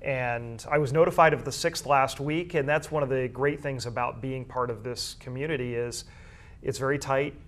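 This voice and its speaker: Male interviewee, mid-pitched, measured and detailed, explaining his work in school sports information. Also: steady tone, a bit bright.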